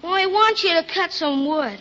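Woman playing young village lad, cheeky, high pitch, dull vintage radio drama sound. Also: moderate pace, bright, forceful tone, slight background noise. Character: cheeky young village boy, farmer's son. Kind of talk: 1940s radio acting. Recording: muffled 1940s radio recording.

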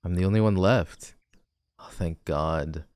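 Man playing a deep-voiced cocky teenage delinquent. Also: a little slow, darkish tone, clean tone. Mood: sarcastic, relieved. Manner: sarcastic, teasing, dismissive. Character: cocky, grumpy tall teenage delinquent boy, teasing. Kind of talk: boyfriend ASMR audio roleplay.

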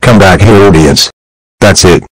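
A man plays a furious father figure in a cartoon, bellowing in a deep, husky, rough voice. He drags out his ranting slowly and stays at a full scream the whole time. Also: no echo, low-quality recording. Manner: yelling, repetitive ranting.

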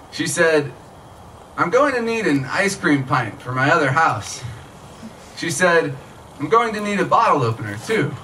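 Bright-voiced man reading fiction, distant mic. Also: on the high side. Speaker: author reading own work. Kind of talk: live literary reading of short fiction. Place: small tent stage at night, outdoors. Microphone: stand microphone, recorded by camera from audience. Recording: distant mic, noisy live outdoor event.

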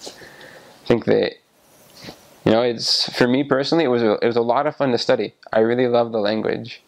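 Young man with a gravelly voice. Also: mid-range voice, fairly quick. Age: 20s. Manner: relaxed, restarts often, gestures while talking. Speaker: returned missionary. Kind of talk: casual explanatory talk to camera. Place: small indoor room by a window. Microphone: lapel mic.

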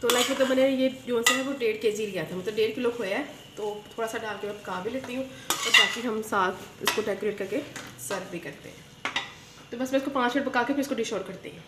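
A woman's voice: on the high side, brisk, heavy background noise. A woman hosting a home cooking channel, chatting directly to viewers as she demonstrates a recipe step by step at her kitchen stove. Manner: chatty, addresses viewers directly, often adds a pious phrase. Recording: echoey kitchen with loud sizzling and clanking pans.

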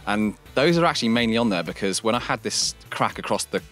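A man's voice: on the low side, lively intonation, fast, fairly bright tone, soft background music. A man giving a casual, enthusiastic walkthrough of his homemade climbing training cellar. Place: cramped wood-lined cellar indoors.

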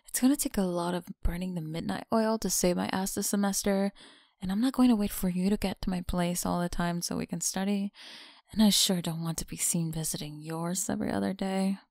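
A woman voicing a mean, teasing tsundere college bully in an intimate ASMR roleplay, creaky and often dropping into breathy whispers. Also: fairly low pitch, steady tone, a little fast, a little mellow, dry recording, clean studio sound. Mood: bossy, matter-of-fact.

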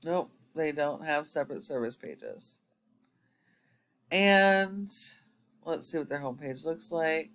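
A man's voice: fairly high pitch, big pitch swings, takes it slowly, long pauses, a bit bright. A man giving a screen-recorded website audit as an SEO consultant, explaining step by step with frequent ums.

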